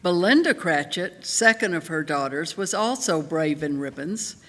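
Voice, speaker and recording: A woman's voice: deep voice, expressive, normal speed, a bit bright. A woman narrating a Victorian tale in a live dramatic reading. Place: live in a church. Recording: reverberant hall.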